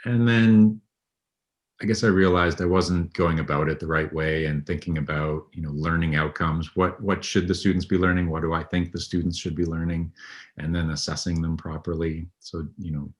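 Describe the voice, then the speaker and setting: A man in his thirties, a veterinary radiologist being interviewed, talking in a low, even, unhurried way with frequent ums. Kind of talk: conversational interview.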